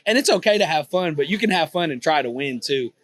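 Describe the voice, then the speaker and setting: A man in his twenties talks in an easygoing Texan drawl, his voice sitting a little high for a man. He rambles comfortably, dropping "you know" every few phrases as he answers questions. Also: animated, quick pace, a bit bright. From Texas, USA. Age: 20s. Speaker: guest, poker vlogger. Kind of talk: casual interview chat. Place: on a cruise ship.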